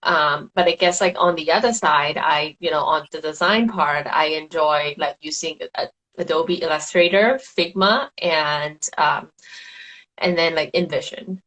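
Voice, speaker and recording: A woman from Thailand with a Thai accent, a designer and paper florist chatting as an interview guest, full of fillers and quick laughs. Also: low voice, fairly bright tone, low-quality recording. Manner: chatty, giggly, many fillers. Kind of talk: casual interview answers.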